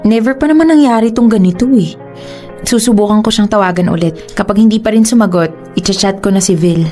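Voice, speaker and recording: A woman's voice: mid-range voice, brisk, dark tone, faint music behind, close to an everyday voice. A woman with a Filipino accent acting an emotional, reproachful young girlfriend in a radio drama. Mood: worried. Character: hurt, indignant young girlfriend pleading with her boyfriend.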